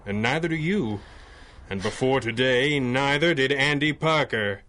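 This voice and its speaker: A man's voice: mid-range voice, measured pace, natural voice. A man playing a stern, grave crime-fighting boss. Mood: stern, resolute. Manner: grave, clipped, formal. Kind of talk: 1930s radio adventure acting.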